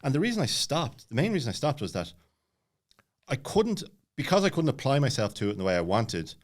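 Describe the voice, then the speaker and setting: A man's voice: medium pitch, big pitch swings, fairly quick. A man in his late thirties telling personal stories casually as a podcast guest, rambling with many false starts. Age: late 30s.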